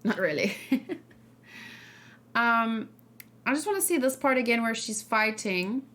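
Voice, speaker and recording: Higher-voiced woman streamer, thinking aloud. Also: lively intonation, unhurried, pushed and bright. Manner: rambling, thinking aloud, hesitant. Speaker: streamer host. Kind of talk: casual livestream reaction and discussion.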